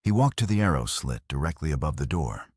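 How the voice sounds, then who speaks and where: A man's voice: rumbling low, very animated, a little fast, a little mellow, breathy edge, no room sound, clean studio sound. A man narrating a scripted story in a dramatic audiobook style.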